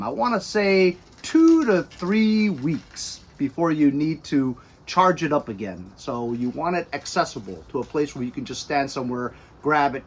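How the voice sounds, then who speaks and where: A middle-aged American man giving a casual, chatty product review with animated emphasis, recorded by a camera a few feet away in a garage. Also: mid-range voice, a little hiss or hum. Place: cluttered garage or workshop.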